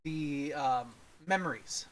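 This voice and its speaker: A man's voice: on the high side, slow, a bit bright. A man co-hosting a casual film review, talking in a rambling way that often trails off mid-thought. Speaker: podcast co-host reviewer.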